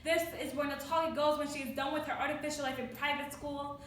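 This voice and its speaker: Echoey, high-pitched teenage girl reciting slam poetry. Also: steady tone, a bit bright. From New York City, United States. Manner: deliberate, emphatic delivery with dramatic pauses. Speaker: student slam poet. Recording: echoey room, distant mic, faint noise.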